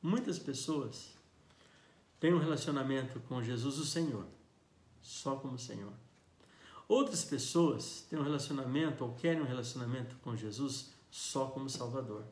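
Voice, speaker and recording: A Brazilian man in his fifties, a pastor preaching a sermon earnestly, reading scripture with emphasis and slowing down on key words. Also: mid-range voice, a little mellow. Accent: Brazilian Portuguese. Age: 50s. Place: church sanctuary. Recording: echoey church hall.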